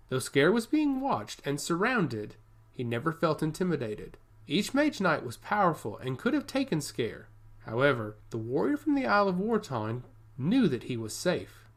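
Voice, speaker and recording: A man reading a scripted fantasy story aloud as its narrator. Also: a little high. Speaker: audiobook narrator.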